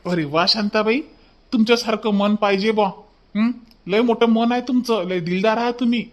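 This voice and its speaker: Brief: fairly high-voiced cartoon ice-cream seller, played by a man, kind and brotherly. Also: moderate pace, lightly acted. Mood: friendly. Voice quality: warm-hearted, brotherly.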